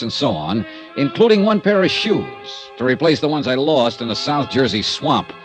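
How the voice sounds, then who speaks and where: Actor voicing a wry hardboiled investigator. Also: male voice, mid-range voice, close to an everyday voice. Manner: wry, dry, hardboiled narration. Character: wisecracking male insurance investigator narrating. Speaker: star of the radio serial. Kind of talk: 1950s radio drama narration.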